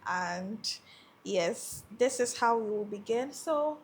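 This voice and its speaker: A woman hosts a podcast interview in a slightly high voice. She moves from a scripted introduction to short prompts for her guest. A faint hiss sits under the recording. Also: expressive, takes it slowly. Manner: scripted show intro, then brief encouraging backchannels. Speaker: podcast host.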